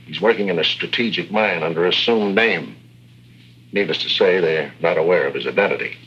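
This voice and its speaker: Low-voiced, earnest agency aide. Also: male voice, fairly quick, fairly bright tone, a little hiss or hum. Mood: grave, urgent. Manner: matter-of-fact, grave briefing. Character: brisk, earnest male intelligence office aide. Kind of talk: 1950s radio drama acting. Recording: dull, humming old radio recording.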